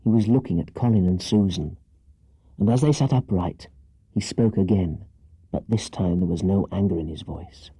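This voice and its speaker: A man narrating a children's story as an audiobook reader, with dramatic, scripted delivery and a husky voice. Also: sits low, normal speed, warm tone, dry recording, rough recording.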